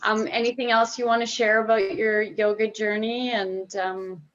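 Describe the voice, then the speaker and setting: A woman's voice: mid-range voice, little pitch movement, moderate pace. Interviewing woman on dull call audio. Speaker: interviewer, host. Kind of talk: casual interview chat.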